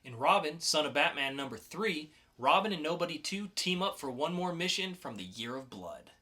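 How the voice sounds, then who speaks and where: A man's voice: on the high side, animated, moderate pace, sharp tone. A man co-hosting a podcast, casually reviewing comic books in a conversational way with emphatic announcements. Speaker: podcast co-host.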